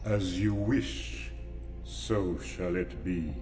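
Deep, slow dark lord. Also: male voice, steady tone, music in the background. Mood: grave, submissive. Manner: grave, formal, measured. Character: menacing, obedient armoured dark lord in service. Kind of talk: radio drama acting.

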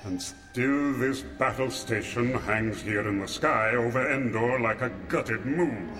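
An actor playing a cold armored dark lord in a radio drama, deep and booming, speaking in a measured, formal, threatening way. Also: male voice. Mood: cold menace. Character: menacing armored dark lord, cold, commanding, half machine. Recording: long hall reverb, orchestral music underneath.

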